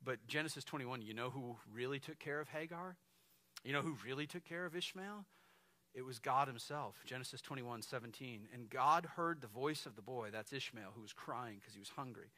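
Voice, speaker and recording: A man in his forties preaches from a church stage, talking to the room like he's chatting with friends and tossing in "right?" again and again. His voice is bright and forward, and the sound is close and dry, with almost no echo. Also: medium pitch. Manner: animated, conversational preaching with frequent "right?" asides and gestures. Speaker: lead pastor. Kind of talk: sermon. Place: stage of a large dark church hall, indoors.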